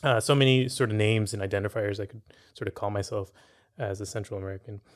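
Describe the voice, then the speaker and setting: A man's voice: lowish voice, steady tone, quick pace. A man from San Francisco hosting an interview, posing a long, thoughtful question full of fillers and rephrasings. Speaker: interviewer, podcast host.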